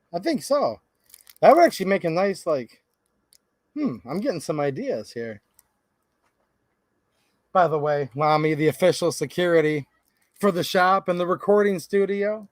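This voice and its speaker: A man's voice: fairly high pitch, no room sound, studio quality. A man hosting a live stream, chatting casually and passionately with viewers and reading out their comments. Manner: passionate and folksy, talks straight to viewers. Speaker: livestream host. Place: home recording studio.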